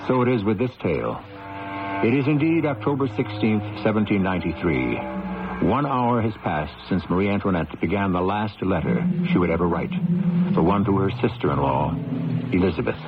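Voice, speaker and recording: Low, dramatic male radio host, lively intonation. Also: noisy background. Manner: grave, theatrical, deliberate. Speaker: host and narrator. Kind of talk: dramatic scripted radio narration. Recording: dull old radio recording, music behind.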